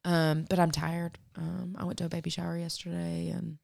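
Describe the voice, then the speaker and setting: Low gravelly woman chatting. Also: moderate pace. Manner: chatty, animated storyteller, laughs often. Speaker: podcast co-host. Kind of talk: casual chat.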